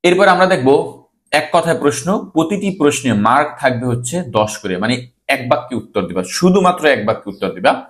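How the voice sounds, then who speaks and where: Bangladeshi man teaching, mid-pitched voice. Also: animated, fairly quick, a bit bright. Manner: instructive, repeats key answers. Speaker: teacher presenting exam questions. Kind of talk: exam-prep lesson, reading questions and answers aloud.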